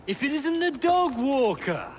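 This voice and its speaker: A man playing a rough, mocking street thug in a video game, with a taunting manner. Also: extremely high pitch, very animated, unhurried, slight background noise, heavily acted. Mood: menacing.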